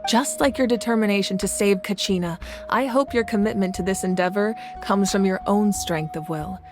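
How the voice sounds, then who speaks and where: A woman playing a composed warrior goddess who leads her nation in a video game, speaking in a measured, formal way. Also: mid-range voice, music in the background, natural voice. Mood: resolute. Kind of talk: scripted video game dialogue.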